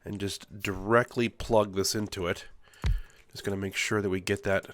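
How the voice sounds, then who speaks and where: A man's voice: lowish voice, little pitch movement, normal speed, a bit bright, a little hiss or hum. A man with a stuffy nose from a cold, casually thinking aloud as he plays and builds in a game. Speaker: let's play host. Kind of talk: casual gameplay commentary.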